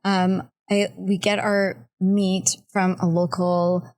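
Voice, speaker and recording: A woman's voice: mid-range voice, flat delivery, slow, a little mellow. A Canadian woman chatting casually as a guest on a podcast.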